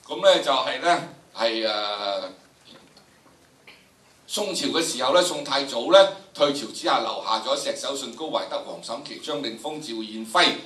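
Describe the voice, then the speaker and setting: Heard from across an echoey lecture hall, a man in his sixties gives a lecture in Hong Kong Cantonese-accented speech. His voice is bright and forceful, at an average male pitch. He performs for students, stressing points and posing rhetorical questions. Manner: theatrical, emphatic, rhetorical questions. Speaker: lecturer, drama educator. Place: large indoor lecture theatre. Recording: echoey lecture hall, distant camera microphone.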